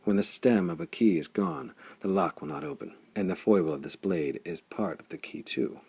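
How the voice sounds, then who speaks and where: A man's voice: sits low, moderate pace, gravelly, darkish tone, slightly rough, exaggerated voice. A man voicing Flame, a grim, stubborn male sword hero, speaking in firm, determined lines in an audiobook.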